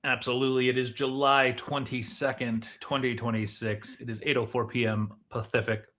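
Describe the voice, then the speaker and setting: A man's voice: mid-range voice, flat delivery, normal speed, fairly bright tone, slight background noise. A man with a mid-range voice hosts a live podcast. He speaks informally, as in an everyday conversation with his co-host. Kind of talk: casual live podcast chat.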